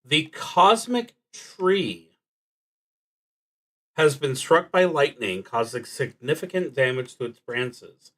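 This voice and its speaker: A man streaming a solo roleplaying game, thinking aloud and reading out cards, often halting and trailing off as he works things out. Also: mid-range voice, animated, fairly bright tone.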